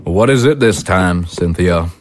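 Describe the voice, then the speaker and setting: A man's voice: deep voice, expressive, unhurried, slight background noise. An actor playing a burly family man in an audio drama, a loving father and a testy husband. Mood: irritated.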